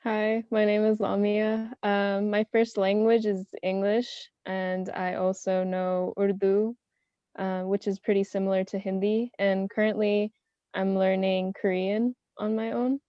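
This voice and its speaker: A young woman answering questions as a panelist in a casual online round-table, soft-spoken and modest. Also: medium pitch, level tone, unhurried, clean tone, dry recording, lo-fi sound. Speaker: panelist, self-taught language learner.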